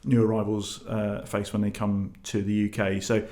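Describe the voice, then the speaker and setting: A man's voice: lowish voice, monotone, normal speed, dark tone. A man from Lebanon who grew up in the UK, giving conversational answers as a charity leader being interviewed. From born in Lebanon, grew up in the UK. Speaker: charity chief executive, interview guest.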